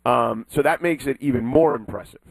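A man's voice: mid-range voice, fairly quick, gravelly, dry recording, low-quality recording. Emphatic man arguing basketball. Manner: emphatic, insistent, stresses key words. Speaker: sports talk co-host. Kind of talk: casual sports debate.

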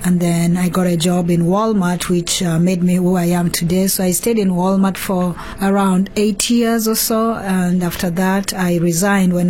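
A woman's voice: mid-range voice, warm tone. A woman with a Kenyan accent, telling her personal story as an interview guest. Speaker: interview guest, home care business owner.

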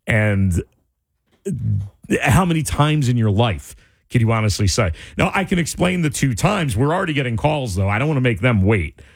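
A male radio host in casual on-air chat, animated and incredulous, his voice starting to go. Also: medium pitch, no echo. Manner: animated, incredulous, quick asides. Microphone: studio microphone.

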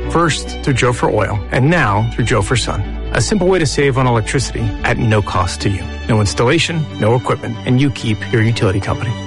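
A man reads a commercial in an earnest, reassuring tone. His voice sits in a mid range. Music plays clearly beneath the read. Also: mid-range voice. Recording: voice over music bed.

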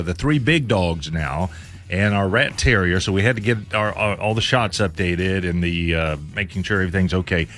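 Low-voiced, lively morning radio man. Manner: easygoing, chuckling, lots of backchannel. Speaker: radio show co-host. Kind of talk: casual morning radio chat. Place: radio studio. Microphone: studio microphone.

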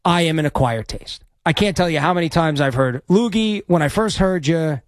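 A man hosting sports talk radio in a studio, with a high-pitched voice and an opinionated, cocky, braggadocious way of talking. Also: animated, moderate pace, dry recording.

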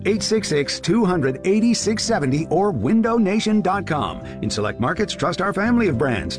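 Animated male radio commercial announcer. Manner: upbeat sales pitch. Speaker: advertising announcer. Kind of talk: scripted radio advertisement read.

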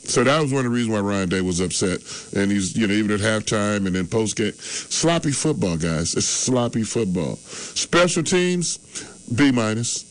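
A man's voice: lowish voice, animated, normal speed, low-quality recording. A man in his early sixties grading his team's game in casual sports talk, rambling and repeating himself. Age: 60s. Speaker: sports show regular.

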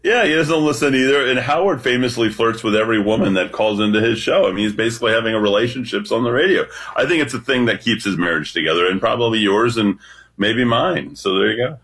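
A man with a deep voice calling into a radio show, talking confidently and animatedly. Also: fast talker, fairly bright tone, husky. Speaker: radio caller. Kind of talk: casual radio talk.

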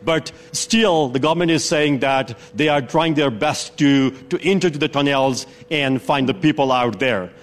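A man's voice: medium pitch, normal speed, few pauses. A man reporting live for a news program, giving a careful, earnest account with frequent restarts.

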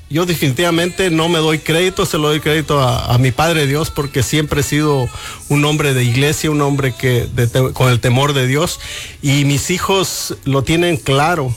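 An older man from Mexico, a guest on a radio show, speaking earnestly and reflectively in a studio booth. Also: a little high. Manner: earnest, reflective, with frequent restarts. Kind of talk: radio interview.

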